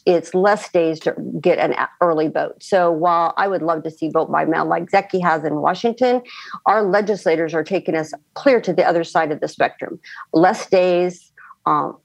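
A middle-aged woman from the American Midwest with a deep voice and plenty of vocal fry. She talks plainly and earnestly as an interview guest, recorded very dry. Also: no room sound. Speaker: former election official, interview guest. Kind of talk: conversational interview.

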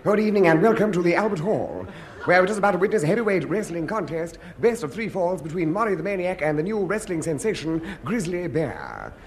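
Wrestling commentator, high-pitched, formal, excitable, earnest, old radio comedy, noisy recording. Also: male voice. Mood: announcing. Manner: brisk formal announcing. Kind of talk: mock 1950s sports commentary.

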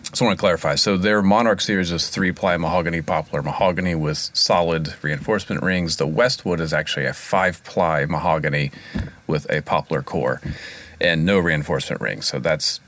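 A man co-hosting a podcast from a basement studio, easygoing and quick to laugh in casual chat. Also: deep voice, fairly bright tone, slight background noise. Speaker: podcast co-host.

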